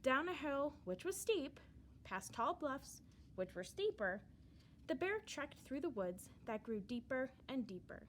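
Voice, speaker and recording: High, animated woman reading a storybook. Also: a little slow, slight background noise. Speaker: storyteller reading a children's book aloud. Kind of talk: expressive read-aloud storybook narration.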